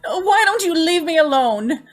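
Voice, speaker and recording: Tormented young woman played by an actress, high voice, swooping intonation, tremulous, pleading, radio horror drama. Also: lively intonation, a little slow, soft background music. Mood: desperate, pleading. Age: young adult. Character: tormented, frightened, gentle young woman confiding in mother. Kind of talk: old-time radio horror acting.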